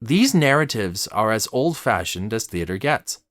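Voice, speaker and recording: A man narrating a scripted personal essay as a theatre commentator, articulate and formal, with measured phrasing. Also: on the low side, big pitch swings, a bit bright, dry recording, clean studio sound.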